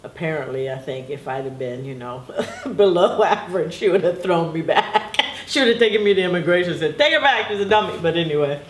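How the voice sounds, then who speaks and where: A woman's voice: on the low side, lively intonation, a little fast, few pauses. Middle-aged woman, first language Portuguese, rambling and reflective, recorded in an echoey room with faint hiss. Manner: rambling, reflective, laughs often. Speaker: interviewee. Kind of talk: casual reminiscing interview.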